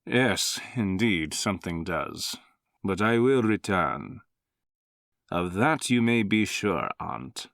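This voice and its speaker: Slow, menacing scheming prince. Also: male voice, deep voice, animated, gravelly, fairly bright tone, no room sound, clean studio sound, exaggerated voice. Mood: coldly menacing. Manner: measured, mocking, threatening. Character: scheming, arrogant young noble prince, menacing nephew. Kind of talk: dramatic visual novel acting.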